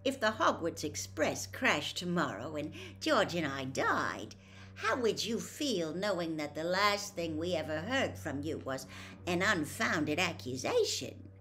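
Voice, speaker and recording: A woman's voice: fairly low pitch, sing-song, a little slow, fairly bright tone, exaggerated voice. A mischievous teenage boy from a storybook, voiced by a woman reader. He sounds cheeky and puts on an air of innocence. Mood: mock-pained. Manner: mock-innocent. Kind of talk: storybook character voice.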